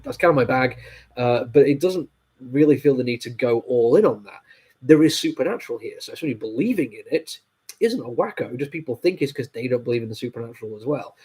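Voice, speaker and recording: A man with a heavy cold talks through a comic book review, his voice nasal and a little husky. He rambles casually, full of ums and apologies, at an ordinary middle pitch. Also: a little mellow, poor audio. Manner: rambling, with many fillers and apologies. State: stuffy nose from a cold.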